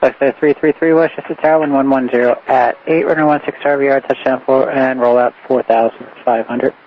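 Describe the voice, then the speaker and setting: A muffled aviation radio with faint noise carries an American man working as a tower controller. He talks in clipped, procedural phrases at a middling pitch. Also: fairly even intonation, a little fast. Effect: aviation radio transmission. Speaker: airport tower air traffic controller. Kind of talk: air traffic radio communication.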